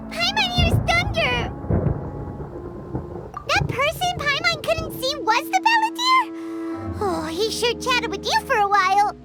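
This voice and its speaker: A woman voicing a tiny, excitable, cheeky fairy companion with a childlike, squeaky voice in a video game. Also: full character voice. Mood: alarmed. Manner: speaks of herself in third person, exclamatory. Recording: game sound effects behind, clear noise.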